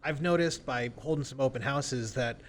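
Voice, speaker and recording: A man's voice: medium pitch, moderate pace. A man speaking as a real estate agent in a conversational podcast interview, informally explaining and acting out example sales calls. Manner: informal, role-plays example phone calls.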